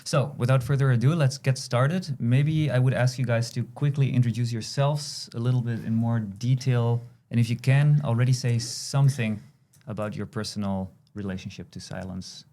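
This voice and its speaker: A man hosting a podcast interview, talking gently and with loose, hedged phrasing. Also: medium pitch, normal speed, hardly any pauses. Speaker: podcast host. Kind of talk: casual conversational interview.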